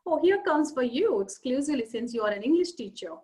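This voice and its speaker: A woman's voice: sits high, expressive, quick pace, darkish tone. A woman hosting an interview over a video call, affirming often and summing up her guest's points. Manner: frequent short affirmations, sums up guest's points. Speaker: interview host. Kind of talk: friendly interview questions.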